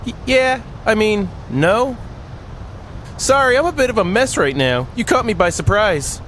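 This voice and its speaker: A man's voice: high-pitched, lively intonation, unhurried, fairly bright tone, slight background noise. A man voicing a polite, grateful young stranger in a visual novel, chatty and apologetic in manner. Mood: flustered, apologetic. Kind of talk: visual novel character reading.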